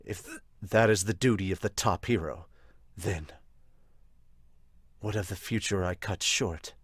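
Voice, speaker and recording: Man voicing a low, brooding middle-aged hero. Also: a little slow, husky, no room sound. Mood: remorseful. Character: brooding middle-aged male hero, stern, remorseful father. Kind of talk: anime manga fan dub.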